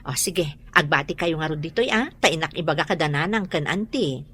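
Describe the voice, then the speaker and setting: A woman's voice: low-pitched, expressive, moderate pace, a bit bright, breathy edge, full character voice. A woman playing a caring working mother in an Ilocano family drama. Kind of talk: Ilocano radio-style family drama acting.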